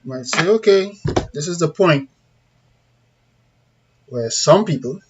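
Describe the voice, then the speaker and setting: A man's voice: fairly high pitch, animated, slow pace. Trini guy doing a casual how-to, plain talking, kinda muffled audio w a bit of background noise. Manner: conversational, plain-spoken, checks in with viewers. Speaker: CCTV installer giving a tutorial. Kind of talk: informal hands-on demonstration, talking through steps. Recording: dull lo-fi sound with background noise.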